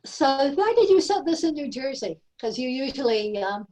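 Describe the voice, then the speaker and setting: A woman's voice: high-pitched, big pitch swings, moderate pace, warm tone. A woman chatting casually and asking questions at a book talk. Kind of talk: casual chat. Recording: distant from the mic.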